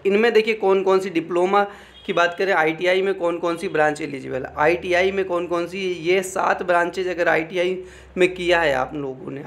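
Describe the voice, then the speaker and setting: A man's voice: sits high, gravelly. A man giving an informal explainer, reading out official text and commenting, often repeating phrases and adding check-in tags. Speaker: exam information presenter.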